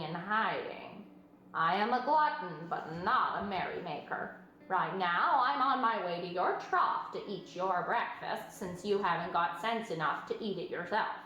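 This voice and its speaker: A woman's voice: fairly low pitch, unhurried, pushed and bright. A woman voicing a crafty, sour, gluttonous male rat in a storybook, in a grudging drawl. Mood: sour, sly. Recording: very echoey room with faint noise.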